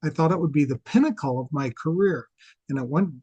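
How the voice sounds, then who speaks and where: A man's voice: on the high side, expressive, a little fast, dark tone, breathy edge, dry recording, dull audio. A man in his sixties with a Wisconsin accent, telling his story earnestly as a podcast guest. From Wisconsin, USA. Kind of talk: conversational interview storytelling.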